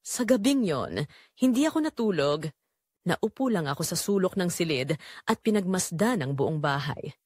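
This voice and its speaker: A woman playing a betrayed middle-aged mother, telling her story in first person with solemn, emotive, deliberate phrasing in an audio drama. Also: on the low side, big pitch swings, a little mellow, dry recording, studio quality. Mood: bitter, grieving. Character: betrayed middle-aged mother and wife, wounded, quietly bitter.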